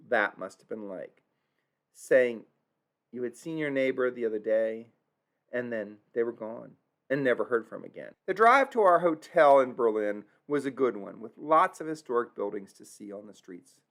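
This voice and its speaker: Gravelly-voiced man narrating a trip. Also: mid-range voice, animated, moderate pace, a bit bright. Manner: earnest, reflective, admits stumbling over German words. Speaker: travel vlogger narrating a tour.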